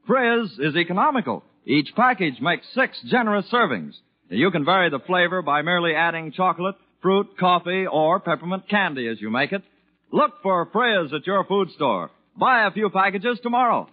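Male announcer, 1940s network radio, high voice, salesmanlike and emphatic delivery, muffled vintage recording.

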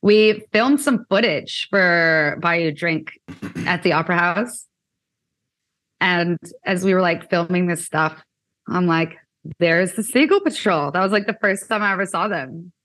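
A woman's voice: on the low side, fairly bright tone. A young woman from Canada, a musician, chatting in an interview with lots of "like" and "um". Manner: chatty, many fillers like "like" and "um". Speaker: musician guest. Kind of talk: casual interview chat.

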